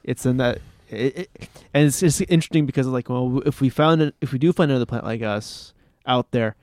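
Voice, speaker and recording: A man's voice: medium pitch, fairly quick, a little mellow, no echo. Male, casual podcast co-host; enthusiastic, rambling, many restarts; dry recording. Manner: rambling, restarts often, enthusiastic about space.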